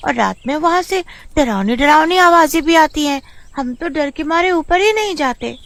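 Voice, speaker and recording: Woman as a timid, high-voiced little sister. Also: animated, moderate pace, a bit bright, no room sound. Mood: anxious, questioning. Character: timid, questioning younger twin village girl. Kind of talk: storybook character voice.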